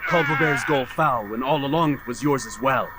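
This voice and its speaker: An actor playing a defiant, principled assassin in a video game, a betrayed student who speaks tersely and earnestly. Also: male voice, medium pitch, fairly quick, loud music behind the voice, close to an everyday voice. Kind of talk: dramatic video game cutscene acting. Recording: noisy game cutscene audio.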